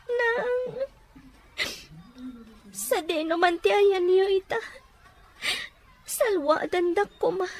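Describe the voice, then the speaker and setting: A woman's voice: very high-pitched, heavily acted. A woman with a Filipino accent playing a poor, humble young woman, plaintive and respectful, in a melodramatic audio drama.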